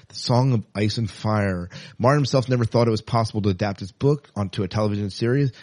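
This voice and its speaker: A man's voice: medium pitch, a little mellow, no echo. A man co-hosting a podcast, chatting casually, thinking aloud and reading out news in a conversational way. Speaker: podcast co-host.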